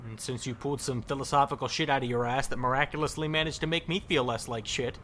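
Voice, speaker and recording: A man's voice: medium pitch, fairly even intonation, quick pace, pushed and bright, slight background noise, close to an everyday voice. A man playing a bitter, regretful survivor in a zombie audio drama, sarcastic and slangy but caring underneath. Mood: wry concern. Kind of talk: audio drama acting, casual profane dialogue.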